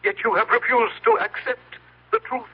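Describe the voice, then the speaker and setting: A cold, solemn exterminator from the future speaks in a high-pitched, husky voice. His diction is stiff, formal and exact, in the manner of radio drama. The sound is a dull old broadcast. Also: sing-song, normal speed, heavily acted. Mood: stern, insistent. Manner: stilted, over-precise, solemn formal diction.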